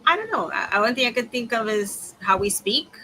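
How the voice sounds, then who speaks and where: A woman whose first language is Spanish talks in a relaxed podcast chat, her tone bright and sharp. A faint hiss of background noise sits under her voice. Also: a little high, a little fast, low-quality recording. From Dominican family, grew up in the US. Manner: easygoing, laughs between remarks. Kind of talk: casual chat.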